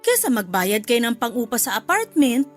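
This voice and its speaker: A middle-aged mother in a radio drama. She sounds warm, fussing and insistent in a fairly high voice, over background music. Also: very animated, moderate pace. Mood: insistent, reassuring. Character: warm, doting, insistent mother of a grown son. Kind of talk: Filipino radio drama acting.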